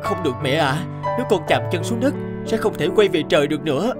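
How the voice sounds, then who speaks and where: Man playing an expressive young woodcutter, kind and earnest. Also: on the high side, fast talker, lightly acted. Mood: gentle, regretful. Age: young adult. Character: kind young male woodcutter, devoted son, earnest and eager. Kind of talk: animated fairy tale voice acting. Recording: music behind the voice.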